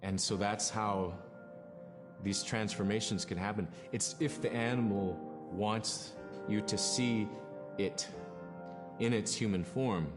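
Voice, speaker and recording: A man telling traditional stories reflectively in a documentary interview, in halting, thoughtful phrases. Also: on the low side, steady tone. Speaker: cultural storyteller, documentary interviewee. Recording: long reverb, clear music behind. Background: music.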